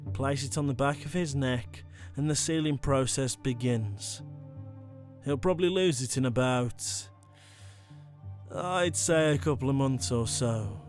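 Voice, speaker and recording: A man's voice: medium pitch, natural voice. A man playing an enigmatic former vampire turned hunter in an audio roleplay, speaking in a coolly conversational, teasing way. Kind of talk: ASMR audio roleplay drama. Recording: music bed behind the voice.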